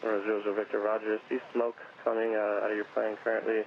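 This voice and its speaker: A man's voice: fairly low pitch, monotone, fast, creaky, muffled recording. A man working as an air traffic controller, speaking in clipped phrases over the radio. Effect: aviation radio.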